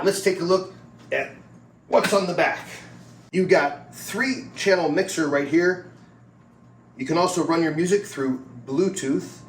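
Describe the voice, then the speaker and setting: A man giving a casual product review and demonstration in a plain-spoken, explanatory way. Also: fairly high pitch. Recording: roomy, distant mic with background noise.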